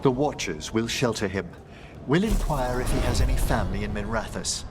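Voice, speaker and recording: A man's voice: mid-range voice, animated, fairly quick, music in the background, natural voice. A man playing a middle-aged scholarly necromancer in a video game, formal, eloquent and polite, proud of his expertise. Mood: formal, composed.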